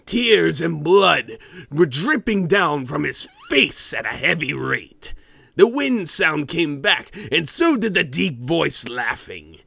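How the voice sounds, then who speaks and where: A man voicing a greedy, grumpy cartoon crab boss who reads a horror story in a theatrical, expressive way. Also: fairly high pitch, slow pace, gravelly, breathy edge, dry recording, exaggerated voice. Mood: dramatic, ominous. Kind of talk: dramatic creepypasta reading.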